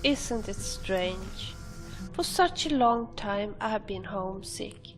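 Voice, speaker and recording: A woman's voice: mid-range voice, natural voice. A woman voicing an earnest, homesick young companion adventurer in a fantasy video game, speaking sincerely. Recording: music behind the voice.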